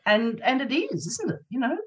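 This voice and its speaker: A woman's voice: a little high, huge pitch swings, normal speed. A woman talking as a panel guest in a conversational storytelling way, emphatic and repeating herself. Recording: distant from the microphone.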